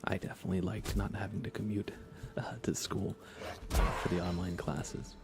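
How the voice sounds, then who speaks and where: A man hosting an ASMR gaming livestream, speaking in a breathy, hushed, reassuring whisper with some vocal fry. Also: deep voice, little pitch movement, moderate pace. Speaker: livestream host.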